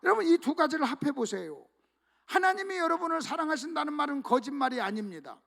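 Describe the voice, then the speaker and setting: Male pastor preaching in church, very high gravelly voice, drops to quiet asides then punches loud lines, gets the crowd to answer back. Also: very animated, fast talker. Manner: leads congregation in call-and-response reading; swings between hushed asides and loud emphatic exclamations. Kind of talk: sermon at a revival meeting. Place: church sanctuary.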